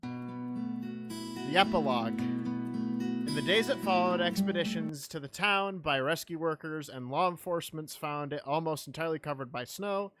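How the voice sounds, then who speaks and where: A man's voice: fairly high pitch, unhurried. A man giving casual, irreverent reaction commentary with profane jokes and reading text aloud. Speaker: reactor watching and commenting on a horror video. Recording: loud music behind the voice.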